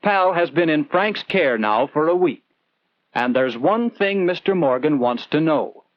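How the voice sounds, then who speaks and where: A man's voice: fairly high pitch, animated, unhurried, no room sound, lo-fi sound. A man narrating a scripted 1940s instructional film in an earnest, conversational storytelling manner, putting questions to the viewer, on an old film soundtrack.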